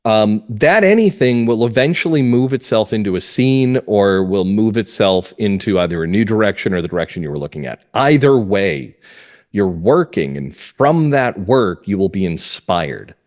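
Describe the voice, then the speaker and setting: A man's voice: on the low side, expressive, normal speed, darkish tone. A man speaking as an interview guest, explaining things earnestly and emphatically in a conversational way. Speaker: guest playwright.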